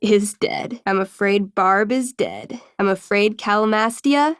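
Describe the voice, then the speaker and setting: A woman's voice: mid-range voice, measured pace, smooth, no room sound, clean studio sound, lightly acted. A woman playing a wry teenage game master, closely recorded. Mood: dramatic, suspenseful. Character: friendly, theatrical teenage girl running a tabletop fantasy game. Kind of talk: dramatic tabletop storytelling and casual chat.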